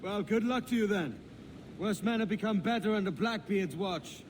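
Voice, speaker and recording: Blunt, high-pitched pirate man, an actor's role. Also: normal speed, lightly acted. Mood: casual. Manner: blunt, colloquial. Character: plain-spoken, self-assured younger pirate man. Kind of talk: video game cutscene acting. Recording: clear outdoor water ambience noise.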